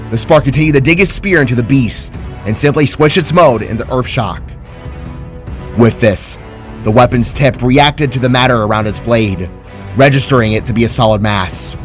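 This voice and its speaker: A man narrates a fantasy tale in a scripted, dramatic style. His voice sits at an average male pitch, with faint music playing underneath. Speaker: narrator of own fantasy story.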